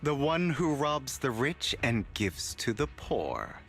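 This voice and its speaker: An actor playing a theatrical, mocking young gentleman thief in a video game, with a dramatic, taunting delivery. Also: medium pitch, very animated, slow, soft background music, full character voice. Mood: grandiose.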